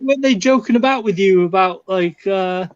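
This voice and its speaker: A man's voice: high voice, no room sound. Welsh-raised man on a dull phone line. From Wales. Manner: friendly, halting, repeats words. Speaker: caller on a fan stream. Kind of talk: casual chat.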